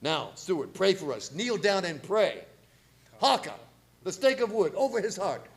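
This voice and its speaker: A man acts out a zealous vampire hunter in a radio horror play. His voice is high and swings widely in pitch, grave, commanding and theatrical. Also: sing-song, moderate pace. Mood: urgent command. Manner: theatrical, urgent, solemn. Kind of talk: radio horror drama acting with narration.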